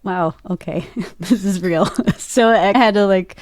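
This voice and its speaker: A woman chatting casually as an interview guest, telling stories in an animated way and acting out her own reactions. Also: medium pitch, a little slow, dry recording, clean studio sound. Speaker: guest, voice actor and podcaster.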